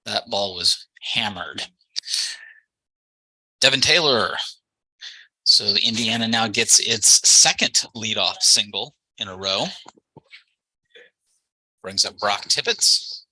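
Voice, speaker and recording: A man's voice: lowish voice, takes it slowly, pauses often, sharp tone, husky, no echo, poor audio. A man doing live baseball play-by-play commentary as the game's announcer.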